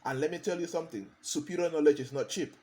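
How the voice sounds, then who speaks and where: A man's voice: fairly high pitch, quick pace, mellow. A man, a consultant giving a motivational talk, hammering points home by repeating key phrases. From Cameroon.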